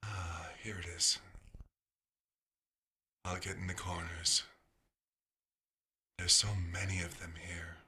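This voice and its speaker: A quiet, nostalgic vampire doctor, played by a man in an ASMR roleplay. His voice sits very low and stays nearly flat, with a very sharp, bright edge. He murmurs to himself without hurry, fussy and gently wistful. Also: full character voice. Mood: wistful, resigned. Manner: unhurried, murmuring to himself with pauses.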